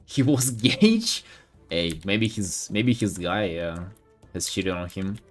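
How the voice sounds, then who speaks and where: Low-pitched man chatting casually on stream. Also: lively intonation, faint music behind. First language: Russian. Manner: halting, rambling, slangy with swearing. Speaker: art streamer. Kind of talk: casual livestream chat while painting.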